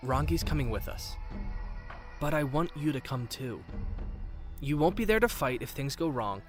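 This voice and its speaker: A man's voice: medium pitch, lively intonation, moderate pace, natural voice. A man acting a playful, self-doubting young Avatar in a fan-made visual novel, earnest and teasing. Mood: earnest, confiding. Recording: background music throughout.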